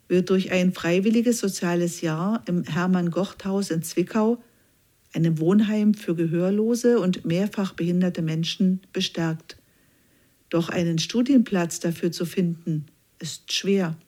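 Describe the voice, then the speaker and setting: Calm woman reading a news feature. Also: fairly low pitch. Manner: even, measured read-aloud delivery. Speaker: news reader. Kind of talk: scripted news feature narration.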